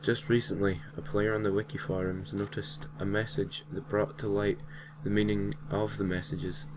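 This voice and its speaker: A man's voice: on the low side, flat delivery, normal speed. A man reading a creepy story aloud as an amateur narrator, sometimes tripping over his words and correcting himself. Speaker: host reading creepypasta stories. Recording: clearly noisy home recording.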